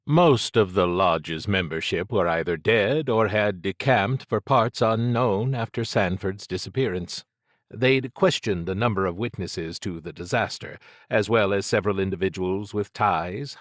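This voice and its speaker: Low-voiced male audiobook narrator. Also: no echo, clean studio sound. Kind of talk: scripted fiction narration.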